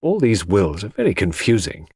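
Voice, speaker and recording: A man voicing a detective's loyal gentleman companion in an audiobook, polite, earnest, self-assured and a little skeptical. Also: on the low side, wildly swooping pitch, normal speed, husky, dry recording, studio quality, heavily acted. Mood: skeptical, curious. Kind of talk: audiobook character dialogue.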